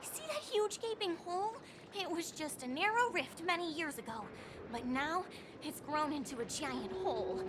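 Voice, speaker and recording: Very high-voiced, earnest obsessive researcher. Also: female voice, noisy background. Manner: earnest, dramatic, scholarly. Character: earnest, obsessive young female dreamscape researcher prophesying doom. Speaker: game character, researcher. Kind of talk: English video game voice acting. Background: loud game music.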